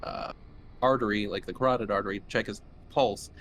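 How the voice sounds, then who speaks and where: A man's voice: fairly low pitch, fairly even intonation, a little fast, fairly bright tone. A man narrating a tabletop horror game as game master, conversational and descriptive. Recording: noticeable background noise.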